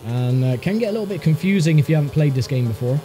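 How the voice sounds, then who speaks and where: A man's voice: on the high side, animated, quick pace, mellow, a little hiss or hum, low-quality recording. A man with a congested, stuffy voice giving dry, wry commentary on a racing game he is playing. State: congested from a sinus infection. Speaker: gaming YouTuber. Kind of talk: casual gameplay commentary. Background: game music.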